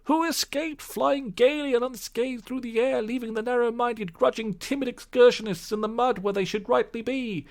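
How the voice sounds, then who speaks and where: Conceited male toad, voice pitched far up, pompous and boastful. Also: fairly even intonation, normal speed, few pauses. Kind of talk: storybook audiobook acting.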